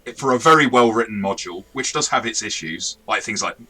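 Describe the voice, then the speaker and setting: A man's voice: fairly low pitch, expressive, fast, bright, forceful tone. A man co-hosting a casual review discussion as a game master, often correcting himself and adding asides. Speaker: tabletop game master and review show co-host.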